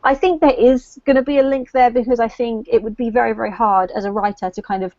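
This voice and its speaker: Female livestream co-host, fairly high voice, casual and hedging, dull video-call audio. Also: steady tone, fast talker, no room sound. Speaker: co-host of a book discussion livestream. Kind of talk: casual chat.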